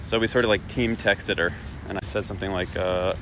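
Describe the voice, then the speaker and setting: A man's voice: low-pitched, fairly even intonation, brisk, noisy background. A man casually telling a personal anecdote, rambling and self-deprecating, acting out dialogue. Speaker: storyteller.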